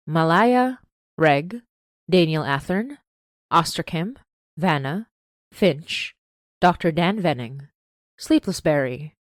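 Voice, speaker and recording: A woman's voice: on the low side, no room sound. Female podcast host, enthusiastic scripted announcements, dry recording. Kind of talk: scripted announcements and thanks to listeners.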